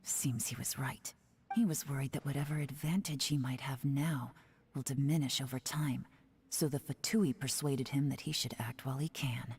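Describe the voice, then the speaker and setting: A man acts out a young male detective from a fantasy game. He is calm, wry and observant, with a light delivery. Faint noise and clicks sit behind the voice. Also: mid-range voice, normal speed, close to an everyday voice. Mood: wry, composed. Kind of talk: video game voice acting.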